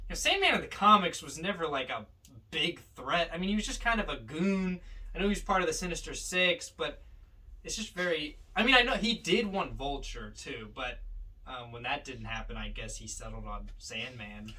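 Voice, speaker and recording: A man co-hosting a podcast, casually discussing films in a halting, emphatic, opinionated way. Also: on the high side, lively intonation, sharp tone, recorded from a distance. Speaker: podcast co-host.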